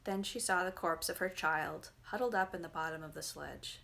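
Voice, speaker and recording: A woman's voice: on the low side, normal speed, creaky, a bit bright. A woman narrating a fairy tale from a book as a dramatic, scripted reading. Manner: dramatic storytelling. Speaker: reader, narrator.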